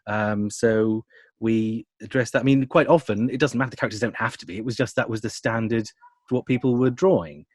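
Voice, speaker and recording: A man's voice: medium pitch, fairly quick, no room sound. A British man giving conversational, explanatory interview answers as a radio drama producer, with dry humour.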